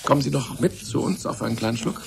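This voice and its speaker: An actor playing a complacent, stubbornly optimistic scientist in a radio drama, musing and rambling chattily. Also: male voice, mid-range voice, animated, quick pace, gravelly, crowd noise. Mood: convivial. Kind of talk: German radio drama acting.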